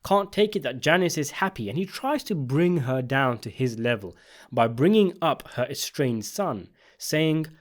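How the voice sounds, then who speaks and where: A man's voice: medium pitch, lively intonation, a little slow. A man delivering scripted video essay commentary on a TV series, in an emphatic, opinionated way. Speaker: video essayist and channel host.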